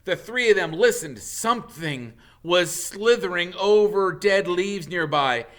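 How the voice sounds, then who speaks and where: Expressive, forceful man reading a story. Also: sits high, unhurried. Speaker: teacher reading aloud. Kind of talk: dramatic storybook narration.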